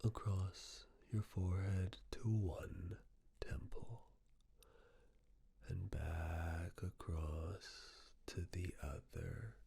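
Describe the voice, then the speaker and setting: A whispered ASMR voice of unclear sex, very low and extremely unhurried. It is breathy and husky with a gravelly edge, guiding the listener gently through soothing personal attention. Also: steady tone, no room sound. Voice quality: breathy, mostly unvoiced whisper. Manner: slow, gentle, soothing step-by-step instructions. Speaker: ASMR performer playing a caregiver giving personal attention.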